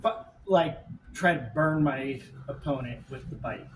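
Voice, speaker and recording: Halting male gamer, recorded at a distance. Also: mid-range voice. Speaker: player. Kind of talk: casual chat at tabletop game. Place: indoor room, group game table. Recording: roomy, distant mic, noisy.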